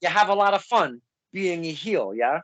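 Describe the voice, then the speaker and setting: A man's voice: high-pitched, animated, moderate pace, a bit bright, no echo, lo-fi sound. A man hosting a podcast, asking questions in a casual, chatty way with frequent laughs and asides to listeners. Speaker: podcast host. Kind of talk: casual interview.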